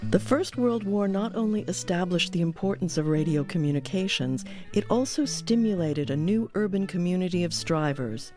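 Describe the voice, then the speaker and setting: A woman's voice: lowish voice, animated, moderate pace, few pauses. A woman reading scripted documentary narration as the host of an audio series. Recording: music under the voice.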